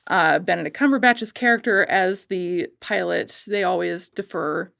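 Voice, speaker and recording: A woman talking casually as a podcast guest, rambling a little and haltingly as she thinks aloud. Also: lowish voice, creaky, a bit bright, no echo, studio quality.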